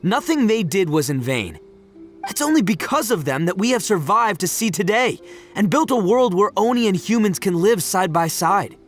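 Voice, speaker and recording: A man's voice: high-pitched, very animated, moderate pace. A man voicing a brash, big-hearted young oni gang leader in a video game, in a boisterous, slangy, easygoing manner. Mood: sincere. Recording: music under the voice.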